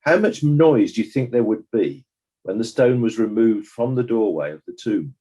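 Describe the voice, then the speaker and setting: Guy acting as a sceptical coroner in a courtroom drama, formal and probing, mellow slightly husky voice. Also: lowish voice, expressive, normal speed. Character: stern, sceptical coroner questioning witnesses at an inquest.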